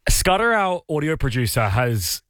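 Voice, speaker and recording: A woman's voice: deep voice, sing-song, fairly bright tone, no room sound. A woman co-hosting a radio show, teasing and joking with callers. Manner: teasing, quick, playful. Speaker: radio co-host. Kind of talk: casual radio chat.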